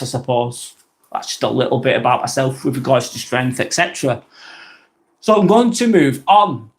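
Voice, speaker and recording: A man with a Lancashire accent from northwest England, hosting a casual live vlog in a rambling, blunt way, swearing casually and often restarting his sentences. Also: mid-range voice. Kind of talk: casual live-stream talk.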